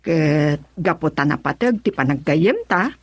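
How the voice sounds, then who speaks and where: An Ilocano wife and mother set on going abroad, in radio drama style. Her voice is husky and lively in pitch, recorded dry. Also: unhurried, dry recording, exaggerated voice. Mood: earnest.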